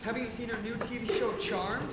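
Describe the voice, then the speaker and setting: A man plays a well-off preppy teenager, defensive among friends, in a stage comedy. The voice rings in a very echoey hall with clear background noise. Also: very high voice, steady tone, a little fast. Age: young adult. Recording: cavernous echo, noisy stage recording.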